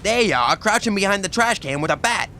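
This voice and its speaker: Man voicing a gangster boss, forceful edge, blunt, suspicious, street-wise slang, comic radio drama, slight rumbling noise. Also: lively intonation, fairly quick. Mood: suspicious, taunting.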